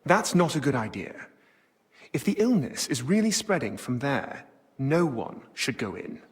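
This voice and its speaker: A man's voice: medium pitch, sing-song, measured pace. An actor playing a cautious, worried medieval man, serious and cautioning, in a dramatic game cutscene.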